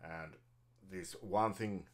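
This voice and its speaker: A middle-aged man with a Finnish accent, teaching jazz guitar at home in halting, hesitant English full of fillers and restarts. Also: low voice. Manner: halting, searching for words, many fillers and restarts. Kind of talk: casual guitar lesson. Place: small bedroom at home. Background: his own jazz guitar playing.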